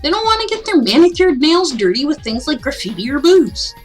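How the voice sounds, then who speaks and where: A woman's voice: high voice, big pitch swings, fairly quick, soft background music, voice pushed up. A woman voicing a wisecracking, slacker male mentor from a story game in a teasing, mock-grand way. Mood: casual, teasing. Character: breezy, wisecracking male school counselor, playful slacker mentor.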